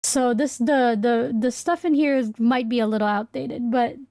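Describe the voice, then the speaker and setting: A woman's voice: a little high, moderate pace, dark tone, no echo, clean studio sound. A woman livestreamer chatting casually with viewers, thinking aloud in a hesitant, rambling way. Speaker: livestreamer and VTuber host.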